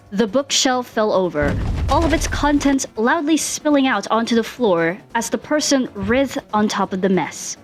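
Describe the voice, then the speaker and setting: A woman reading fan fiction aloud as an expressive narrator, with music behind her. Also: a little high.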